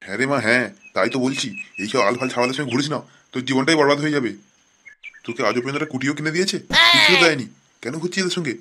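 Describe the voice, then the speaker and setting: A man's voice: mid-range voice, racing, bright, forceful tone. A man voicing an angry, scheming middle-aged father in a comic cartoon, blustering and full of crude threats. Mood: persuading.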